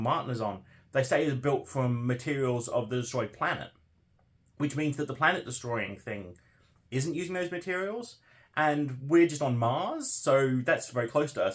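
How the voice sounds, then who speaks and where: Man casually discussing a book to viewers. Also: medium pitch. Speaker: book vlogger hosting a read-along.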